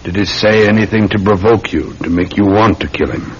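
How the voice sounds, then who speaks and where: Police sergeant, very deep voice, patient, courteous, methodical, old radio drama, muffled recording. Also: male voice, a little fast, husky. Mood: firm, probing.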